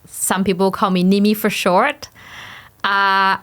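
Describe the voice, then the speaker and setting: A woman's voice: medium pitch, little pitch movement, slow, fairly bright tone. A woman from Thailand, around her thirties, answering conversationally and candidly as a podcast guest. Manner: candid, informal. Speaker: podcast guest, business founder. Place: studio.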